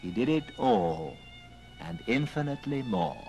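A man giving expressive, theatrical storybook narration on an old radio drama record. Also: mid-range voice, measured pace. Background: music.

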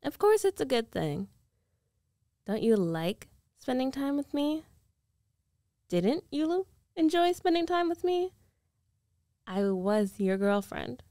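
A woman's voice: high-pitched, big pitch swings, slow pace, pauses often, mellow, no echo, studio quality, heavily acted. A woman playing a rich, possessive ex-girlfriend in an intimate ASMR roleplay, coaxing and self-assured with a condescending edge. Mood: possessive, insistent. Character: rich, domineering, possessive young ex-girlfriend coaxing her lover back. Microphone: close microphone, dry.

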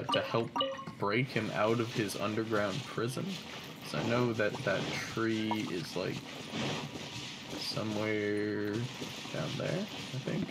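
A man's voice: fairly low pitch, heavy background noise. A man in his twenties giving casual, offhand, joking let's play commentary. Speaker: gaming YouTuber. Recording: game music behind voice.

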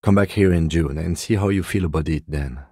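Low, husky, composed detective. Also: male voice, dark tone, no room sound, studio quality. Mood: calm, formal. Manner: measured, formal, restrained, dryly polite. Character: composed, dry, middle-aged male police detective. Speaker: video game voice actor. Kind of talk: dramatic game dialogue, separate voice lines.

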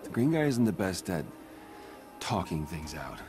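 A man playing a weary, reluctant, mild-mannered hero in a video game, halting and dry, with a gravelly voice. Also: low-pitched, big pitch swings, a little mellow, faint music behind.

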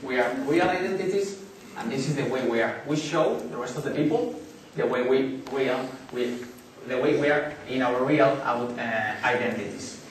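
Spanish-accented male presenter, thirties, halting delivery; distant, very echoey hall recording. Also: medium pitch, slight background noise. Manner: halting, many fillers and restarts. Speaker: conference speaker. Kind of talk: business presentation. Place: large hotel conference hall. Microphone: far camera in the audience.

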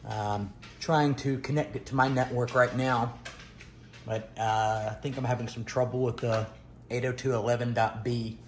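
A middle-aged man giving a casual, unscripted show-and-tell, halting and pausing mid-sentence. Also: mid-range voice, fairly even intonation, a little hiss or hum. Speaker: retro computer vlogger. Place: dining room at home, indoors.

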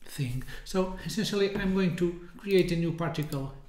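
Higher-voiced man presenting, roomy sound. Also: moderate pace, darkish tone. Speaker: software tutorial presenter. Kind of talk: informal screencast demonstration. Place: indoors, small room with window blinds. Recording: roomy, echoey indoor sound.

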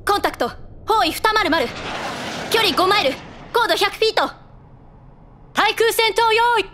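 A woman voice actor playing a stern warship combat officer with forceful, clipped military delivery in an audio drama. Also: extremely high pitch, expressive, unhurried, background noise. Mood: tense, alert. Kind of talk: anime-style military audio drama acting.

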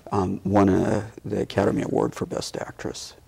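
An older man giving an unscripted studio interview as a guest, with halting, hesitant phrasing. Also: low voice, completely flat delivery, vocal fry, darkish tone, husky. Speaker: theater producer, talk show guest. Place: small TV studio, indoors. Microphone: lapel mic.

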